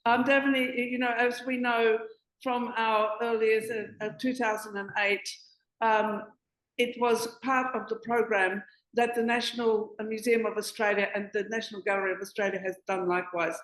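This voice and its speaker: A woman's voice: on the high side, little pitch movement, moderate pace, reverberant, rough recording. A woman speaking as a panelist, earnest, correcting herself as she goes. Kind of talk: panel discussion.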